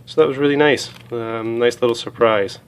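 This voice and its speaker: A hoarse man with a cold, chatting casually as he reviews small gear items, with many fillers. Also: mid-range voice, moderate pace.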